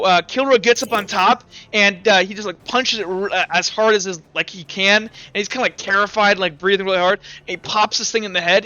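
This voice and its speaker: A young man runs a tabletop game, narrating the fight in a rambling way full of "like" and "uh". His voice sits high and comes through smooth and crisp. The recording sounds dull and lo-fi. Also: bright, forceful tone. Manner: rambling, lots of likes and uhs. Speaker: game master. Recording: dull lo-fi mic.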